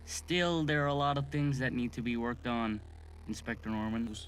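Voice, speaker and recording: A man acting an earnest autopsy doctor in an amateur audio drama, talking in a deliberate, conversational way. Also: medium pitch, a bit bright, close to an everyday voice, poor audio. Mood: matter-of-fact. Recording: background noise.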